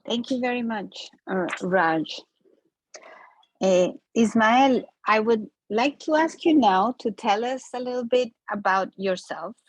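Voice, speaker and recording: A woman moderating an online panel forum, introducing a speaker in a measured way with frequent fillers and repeated words. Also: fairly high pitch, dry recording, rough recording.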